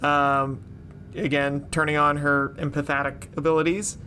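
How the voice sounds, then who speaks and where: A man's voice: a little high, level tone, normal speed, bright, forceful tone, a little hiss or hum. A man playing a tabletop role-playing game, talking casually and asking questions as his character.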